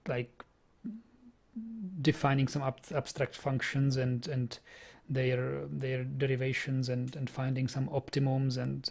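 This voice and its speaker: A man's voice: mid-range voice, gravelly, a little mellow. A young man with a Czech accent, chatting casually on a programming stream, often repeating words as he thinks aloud. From Czech Republic. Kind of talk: casual live-stream chat.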